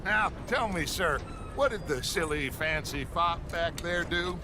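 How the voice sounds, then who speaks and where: A theatrical con man gang leader in a Western game. He talks in a rather high voice with flowery, ingratiating politeness. Clear outdoor noise runs behind him. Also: animated, a little slow. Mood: smooth pleading. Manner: ingratiating, grandiloquent. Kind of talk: cinematic video game acting.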